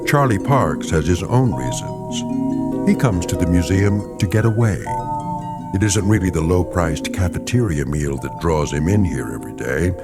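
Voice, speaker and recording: Grave narrator, deep voice, wide expressive intonation, portentous, loud music behind. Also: male voice. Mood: grave, ominous. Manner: measured, portentous. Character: grave, knowing male storyteller of eerie tales. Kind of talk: dramatic scripted narration. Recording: prominent music bed under voice.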